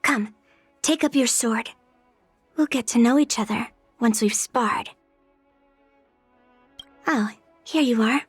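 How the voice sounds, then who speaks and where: A young game swordswoman with a high, girlish voice. She is brash and earnest, stating things bluntly and matter-of-factly. The sound is dry and studio-close, with light music underneath. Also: takes it slowly, long pauses, breathy edge, no echo. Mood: confident, challenging. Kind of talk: scripted game character voice lines.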